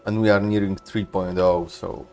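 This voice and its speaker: A man's voice: deep voice, little pitch movement, normal speed, darkish tone. A man doing casual gaming commentary, slangy and musing aloud. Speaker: gaming streamer. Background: game music.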